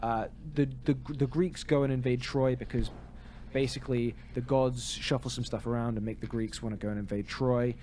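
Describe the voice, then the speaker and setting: Guy podcast cohost, medium pitch, chatting casually and joking around, some noise behind him. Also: fairly even intonation, normal speed, mellow. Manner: jokey, wry. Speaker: podcast co-host. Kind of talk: casual chat.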